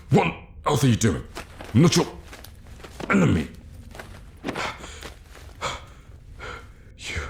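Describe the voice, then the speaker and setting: An extremely deep voice rings in a roomy, echoing space. It belongs to a scheming royal guard, acted by a man, fiercely loyal to his queen and quick to condescend. He speaks in a hushed, theatrical way with deliberate pauses, in the intimate manner of a fantasy ASMR roleplay. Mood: alarmed, betrayed. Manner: theatrical, hushed, measured, with sighs and pointed pauses.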